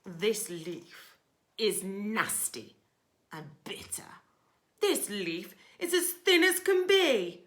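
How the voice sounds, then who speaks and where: A woman voicing a boastful, greedy male rat highwayman from a rhyming storybook, with loud theatrical commands. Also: big pitch swings, drawn-out words, exaggerated voice. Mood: bellowing, greedy. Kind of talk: rhyming children's storybook villain.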